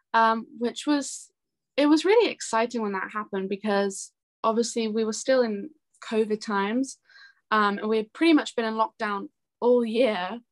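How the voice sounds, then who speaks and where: A young woman talking chattily and earnestly as a guest in a casual interview. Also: a little high. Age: young adult. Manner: chatty, earnest, laughs easily. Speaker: interview guest, singer-songwriter.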